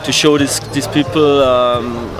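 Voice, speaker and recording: An Austrian man with a German-speaking accent, answering a street interview in halting English full of fillers as he searches for words. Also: mid-range voice, steady tone, a crowd in the background. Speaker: protester.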